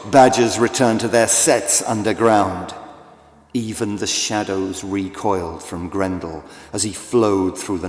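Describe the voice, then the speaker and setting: A man narrating a children's audiobook in a theatrical, deliberate, scripted storytelling style. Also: lowish voice. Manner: theatrical, deliberate, with big swells and hushes. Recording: echoey, long reverb, music behind.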